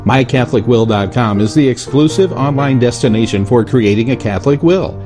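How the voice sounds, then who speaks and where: A man announcing a scripted radio ad in a smooth, measured way. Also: mid-range voice, music in the background.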